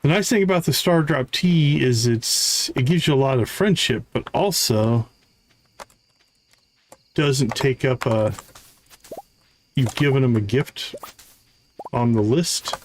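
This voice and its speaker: A man's voice: medium pitch, slow, long pauses, slight background noise. A man giving casual live commentary as he plays a video game. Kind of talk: casual livestream gameplay commentary, reading game dialogue aloud.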